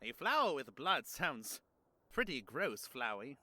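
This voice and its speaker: A man's voice: medium pitch, big pitch swings, measured pace, background noise. A man voicing a cheerful, naive, boastful male skeleton guard who sounds eager and self-assured, in an audio drama. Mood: earnest.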